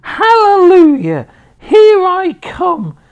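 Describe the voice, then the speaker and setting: Screechy, gleeful old grandma. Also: female voice, very high-pitched, wildly swooping pitch, drawn-out words, a little mellow, pitched above the performer's own voice, full character voice. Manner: shrieking and whooping.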